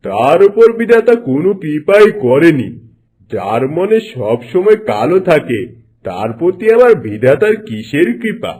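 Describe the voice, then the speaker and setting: A man's voice: on the high side, big pitch swings, a little slow, a little mellow, slightly rough, full character voice. A man playing a stern, suspicious village headman in a cartoon, questioning and moralising. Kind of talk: cartoon voice acting.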